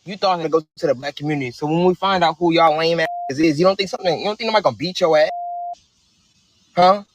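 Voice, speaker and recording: A man's voice: fairly high pitch, fast, slight background noise, poor audio. A man in a played social media clip, delivering an angry, confrontational rant.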